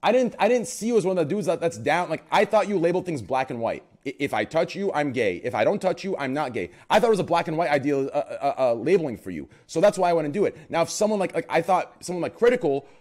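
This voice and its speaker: Deep-voiced young male host, insistent and worked up, very animated pitch. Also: quick pace, few pauses. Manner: passionate, insistent, repeats "you understand?". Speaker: podcast host. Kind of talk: heated casual debate.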